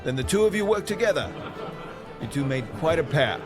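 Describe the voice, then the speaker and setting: Hearty, high-voiced, lively king. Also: fairly quick, music in the background. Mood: jovial. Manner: declamatory, courtly, hearty. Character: hearty, gracious medieval king, warm to a young knight. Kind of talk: audio drama acting.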